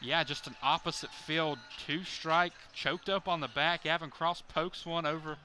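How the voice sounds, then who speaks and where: A man's voice: on the high side, steady tone, normal speed, pushed and bright, soft background music. A man giving color commentary on a radio baseball broadcast.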